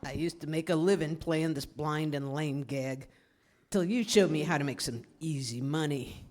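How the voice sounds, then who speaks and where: A woman's voice: sits low, moderate pace, a little mellow, close to an everyday voice. A woman playing a nervous petty crook, slangy and wary, in 1930s radio style. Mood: shaken. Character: nervous petty crook, henchman posing as beggar. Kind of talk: 1930s gangster radio acting. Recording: reverberant hall recording.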